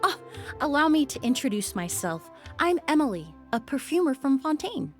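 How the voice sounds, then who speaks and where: A woman playing a courteous young perfumer in a video game, speaking in a composed, gently explanatory manner. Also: sits high, big pitch swings, moderate pace, soft background music. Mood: polite, composed. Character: poised, courteous young female perfumer, calm and knowledgeable. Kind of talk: video game character acting.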